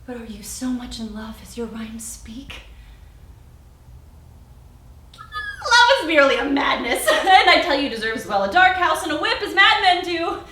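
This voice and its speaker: Spirited, witty young stage heroine played by a woman, high and emphatic Shakespearean verse-style delivery, recorded far off in a warehouse. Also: normal speed, a little hiss or hum, full character voice. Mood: spirited mockery. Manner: animated, teasing, emphatic. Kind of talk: theatrical Shakespeare monologue. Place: friend's warehouse. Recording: echoey warehouse, distant mic.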